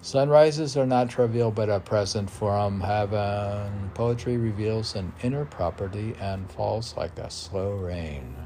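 A man's voice: on the low side, unhurried, hardly any pauses, dark tone, slight background noise. A man reading his own poems aloud as a poet, with declamatory, emphatic delivery.

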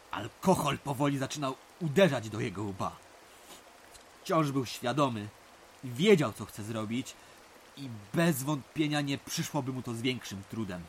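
An actor playing an uncle who narrates a village legend in a dramatic audio drama style. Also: male voice, mid-range voice, a little hiss or hum. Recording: rain pattering behind the voice. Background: rain.

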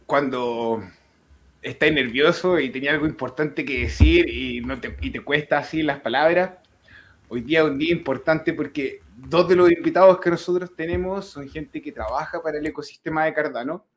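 Male host with a slightly high voice, introducing guests and asking earnest questions on a video call. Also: moderate pace. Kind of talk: interview hosting.

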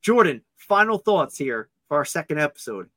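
Male sports podcast co-host, bright forceful tone, slightly high, dry close sound, casual and joking. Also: big pitch swings, dry recording. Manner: upbeat, joking, quick asides.

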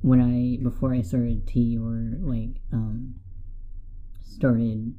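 A young man co-hosting a casual podcast, talking haltingly with many fillers and restarts. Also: mid-range voice, little pitch movement. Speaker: podcast co-host. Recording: tinny phone-like sound, faint music.

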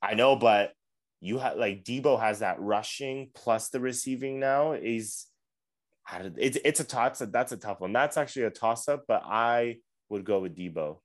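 A man's voice: medium pitch, normal speed. A man co-hosting a casual sports podcast, easygoing, hedging his takes and laughing often. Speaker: podcast co-host.

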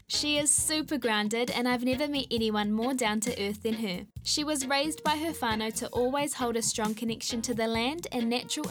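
A woman, an upbeat podcast host who introduces the show and asks her guest questions in a studio. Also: fairly high pitch. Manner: upbeat and friendly. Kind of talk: podcast hosting and interview.

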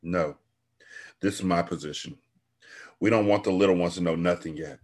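A man voicing a protective bodyguard who breaks hard news in a quiet, firm way. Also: sits low, normal speed. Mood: serious.

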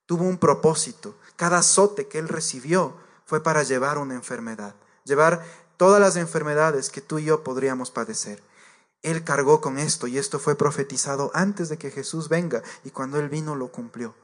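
A man's voice: fairly high pitch, normal speed. A man preaching a sermon to a congregation, earnest and direct, repeating his points and addressing the listeners as believers.

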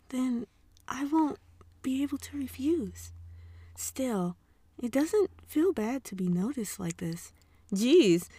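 Woman as manga housewife heroine, wistful, flustered, high voice, slow, very expressive. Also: slightly rough, dry recording, heavily acted. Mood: shy, wistful. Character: wistful married former magical-girl warrior, flustered housewife. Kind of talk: amateur manga dub, dramatic reading.

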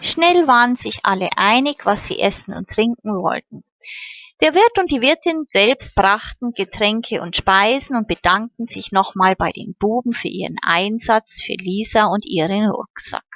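A woman narrating a children's story in a slow, measured way. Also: mid-range voice, expressive, a bit bright, dry recording. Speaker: audiobook narrator. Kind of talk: scripted fairy-tale narration. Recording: slightly muffled, dry recording.

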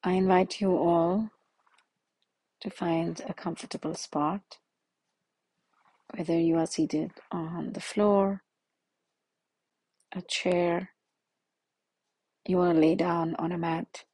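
A woman leading a guided meditation in a slow, soothing manner with long pauses. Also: lowish voice, flat delivery, mellow, husky, no echo.

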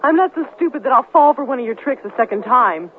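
A woman's voice: high-pitched, lively intonation, fast talker. An actress playing a hurt but firm young ex-girlfriend in a radio drama, earnest and emphatic. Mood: resolute. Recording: tinny narrowband radio broadcast with faint noise.

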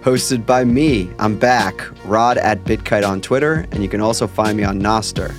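A man's voice: lowish voice, a little slow, soft background music. A man hosting a podcast, chatting casually and rambling through stories with the odd swear. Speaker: podcast host. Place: at a park.

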